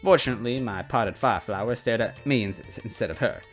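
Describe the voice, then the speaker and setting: A man's voice: lowish voice, fast, soft background music. A man hosting a semi-dramatic reading of a noir detective story, stumbling over lines now and then. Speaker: story reader and host. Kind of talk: semi-dramatic noir narration with casual asides.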